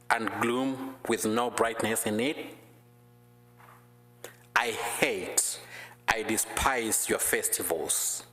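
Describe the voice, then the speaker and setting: A man preaching and praying with slow, careful phrasing; English is not his first language. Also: medium pitch, fairly bright tone. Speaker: guest preacher. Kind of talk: prayer and sermon. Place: church sanctuary. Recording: echoey church hall.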